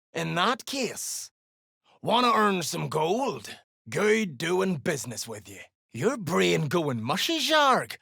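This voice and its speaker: An actor playing a scheming, greedy, foul-mouthed duergar slaver in a fantasy video game, with a harsh, gruff delivery. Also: medium pitch, bright, forceful tone, no room sound, studio quality, full character voice. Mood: aggressive, greedy. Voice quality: very gravelly, harsh.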